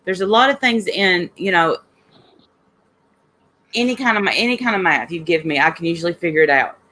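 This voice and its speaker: A woman hosting a relaxed conversation. She talks candidly and pokes fun at herself, with casual swearing mixed in. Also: fairly low pitch, a little fast, slight background noise. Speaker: host. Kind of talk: casual chat.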